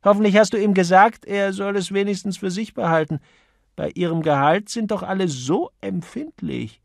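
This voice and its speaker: A man voicing a gossipy, opinionated young female typist in an audiobook, with lively, emphatic delivery. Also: sits high, moderate pace, creaky, no room sound, clean studio sound, pitched above the performer's own voice. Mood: indignant gossip. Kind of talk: audiobook character voice.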